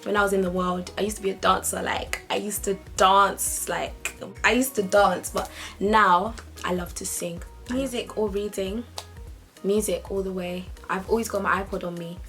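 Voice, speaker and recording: A woman's voice: mid-range voice, normal speed, soft background music. A young woman, talking casually and upbeat to her camera in a vlog. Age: young adult. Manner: chatty, lively and upbeat. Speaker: vlogger.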